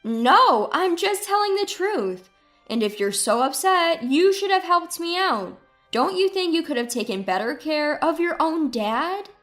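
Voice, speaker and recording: A woman's voice: high voice, animated, measured pace, fairly bright tone, faint music behind, pitched above the performer's own voice. A woman voicing a wronged, outspoken daughter-in-law in a narrated family story, earnest and argumentative. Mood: indignant.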